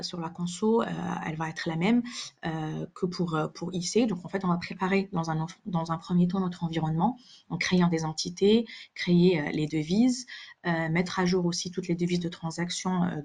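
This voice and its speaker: Female webinar presenter, lowish husky voice, explaining software step by step with a hesitant, halting flow. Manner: halting, hesitant delivery with repeated words. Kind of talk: live software demonstration, explanatory.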